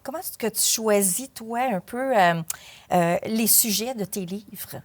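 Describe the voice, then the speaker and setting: Casual Québécois woman interviewer, mid-pitched. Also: a little slow. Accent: Quebec French. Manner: attentive, informal questioning with brief acknowledgements.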